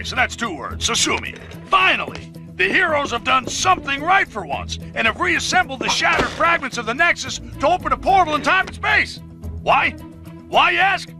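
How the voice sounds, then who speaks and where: A man's voice: extremely high pitch, heavily acted. An actor playing a blustering, sarcastic, middle-aged newspaperman ranting through a bombastic news update in a video game.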